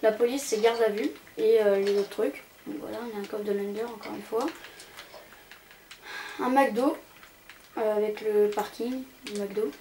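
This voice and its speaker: A woman's voice: mid-range voice, fairly even intonation, measured pace, long pauses, mellow, low-quality recording. A young woman giving a casual, rambling, hesitant commentary as she tours a video game. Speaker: gaming channel host. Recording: amateur home recording with background noise.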